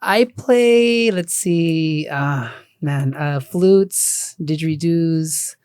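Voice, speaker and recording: A man with a slightly raspy voice, a guest sound healer talking casually on a podcast, relaxed and full of fillers and restarts. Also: a little high, very slow, no room sound, studio quality.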